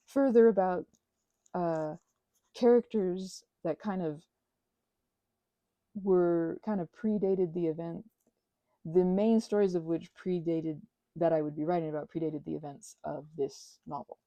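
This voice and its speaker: A woman chatting casually in a rambling, digressive way to her audience, animated and poking fun at herself. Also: lowish voice, unhurried, pauses often, no room sound, highs cut off. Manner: animated, self-mocking, digressive. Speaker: hobbyist creator talking to her audience. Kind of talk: casual rambling chat, ASMR channel.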